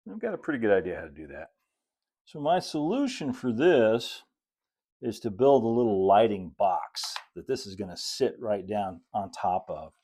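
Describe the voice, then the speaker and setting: A middle-aged American man giving a casual hands-on tutorial, unhurried and folksy, thinking aloud as he works. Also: fairly low pitch, sing-song, mellow, husky. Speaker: host, model railroad builder. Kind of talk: casual hands-on tutorial talk while working. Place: indoor hobby workshop at a cluttered workbench and layout.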